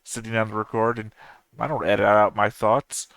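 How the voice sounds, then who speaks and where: A man in his thirties with a low, gravelly yet smooth voice talks loosely and off the cuff, correcting himself as he goes. His delivery stays fairly flat, with dry humor running through it. The sound is close and dry, with no room around it. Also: normal speed, no room sound, poor audio. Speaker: anime reaction channel host. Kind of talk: casual rambling commentary.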